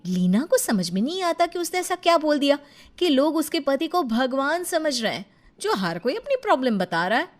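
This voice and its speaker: Female cartoon narrator, high register, animated intonation, playful and conversational. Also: normal speed. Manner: conversational, addresses listeners directly. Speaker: story narrator.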